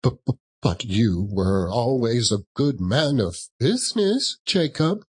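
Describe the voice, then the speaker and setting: A man's voice: medium pitch, big pitch swings, slow, darkish tone, breathy edge, full character voice. A man voicing a frightened old miser in a storybook reading, timid, stammering and pleading. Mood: nervous pleading. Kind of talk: dramatic audiobook reading.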